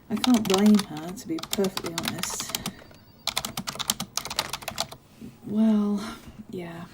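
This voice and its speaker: A woman acts out a kindly giantess office worker in an ASMR roleplay. Her voice is very soft and dark, and she chats in an unhurried, caring way with small hesitations. There is a faint noise behind her. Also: mid-range voice, lightly acted, rough recording. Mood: caring, confiding. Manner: bubbly, caring, apologetic, hesitant with soft laughs. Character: friendly chatty giantess office worker greeting her tiny coworker. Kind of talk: ASMR roleplay, one-sided conversation.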